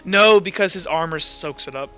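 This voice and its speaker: A high-voiced man narrates a tabletop roleplaying game in a loose, sweary way. His voice is bright and forward, on a slightly dull lo-fi mic with music playing underneath. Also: normal speed. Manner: informal, sweary. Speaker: game master.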